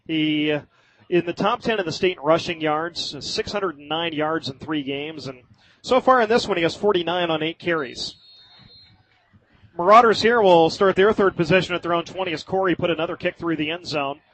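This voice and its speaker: An American man doing live radio play-by-play of high school football in vivid, colloquial language. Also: moderate pace, a bit bright, no room sound, low-quality recording.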